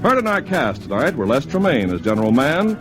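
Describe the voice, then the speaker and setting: A man's voice: mid-range voice, sing-song, brisk, over music. An American man announcing in a scripted 1950s radio style, as on a vintage sponsored broadcast. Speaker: commercial announcer.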